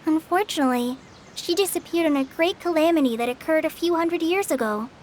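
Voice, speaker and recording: An earnest, very high-voiced young ranger. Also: female voice, brisk, a little hiss or hum. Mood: earnest, informative. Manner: earnest, helpful, explanatory. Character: earnest, eager young trainee forest ranger, youthful and dutiful. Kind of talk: video game voice acting. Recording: music behind the voice.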